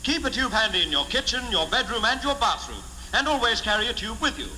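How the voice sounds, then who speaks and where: Male vintage radio announcer: very high, emphatic pitchman, heard through hiss and hall reverb. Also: very animated, fast, sharp tone. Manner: showy, emphatic sales delivery. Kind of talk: old-time radio announcing and ad reading.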